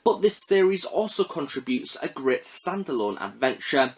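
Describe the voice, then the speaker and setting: A man's voice: fairly high pitch, very animated, moderate pace. Emphatic man reading a scripted review. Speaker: reviewer, host of an audio drama review series.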